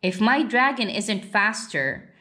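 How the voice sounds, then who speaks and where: A woman's voice: mid-range voice, big pitch swings, slow, bright, forceful tone, exaggerated voice. A woman voicing an imaginative, earnest little boy in a storybook, with a playful, rhyming lilt. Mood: dramatic.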